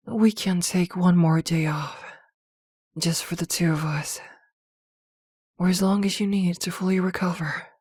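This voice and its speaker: Woman as yandere witch girlfriend, ASMR roleplay, low pitch, dry close sound, soft, possessive, tenderly comforting. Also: breathy edge, no room sound. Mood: soothing, protective. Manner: soft, soothing, unhurried, with hesitant pauses.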